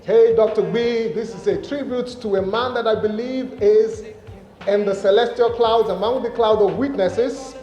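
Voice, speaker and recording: High, mellow man in a reverberant hall. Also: moderate pace. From Nigeria. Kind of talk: devout spoken tribute. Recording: echoey hall, long reverb, faint music.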